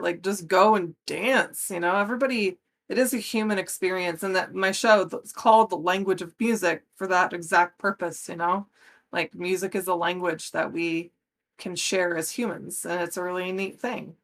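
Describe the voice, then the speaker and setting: A woman's voice: lowish voice, fairly bright tone. An American woman in her forties speaking as a podcast guest in casual conversation, earnest and emphatic. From United States. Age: 40s.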